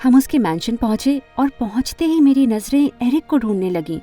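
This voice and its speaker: An earnest teenage girl narrating an animated drama. Her voice is high and soft-edged, with very lively swings in pitch. She is expressive and emotional, with music under the voice. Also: normal speed. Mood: wistful narrating. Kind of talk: first-person animated story narration and dialogue.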